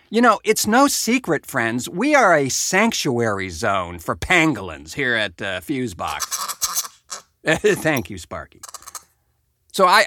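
A man's voice: fairly high pitch, pushed and bright, dry recording, studio quality. A middle-aged American man hosting a casual comedy podcast, with a wry, wordy, mock-grandiose way of talking. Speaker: podcast host.

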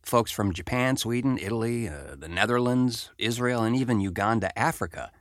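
A man's voice: medium pitch, lively intonation, normal speed, no echo, studio quality. An American man hosting a show, reading a report aloud with wry, sardonic conversational asides. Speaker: podcast host.

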